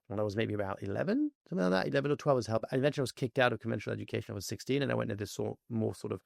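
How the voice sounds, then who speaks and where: Fast-talking man with a gravelly voice. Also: medium pitch, dry recording, studio quality. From France. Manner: fast, animated storytelling with many fillers. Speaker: podcast guest. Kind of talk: casual interview chat.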